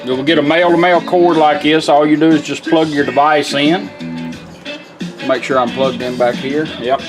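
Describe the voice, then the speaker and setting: Male American product demonstrator, slightly high voice, folksy and plainspoken, guitar music playing behind. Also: steady tone, normal speed, low-quality recording. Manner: plainspoken, folksy step-by-step instruction. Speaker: product demonstrator for a radio restoration shop. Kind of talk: hands-on product demonstration, casual. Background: guitar music from a radio.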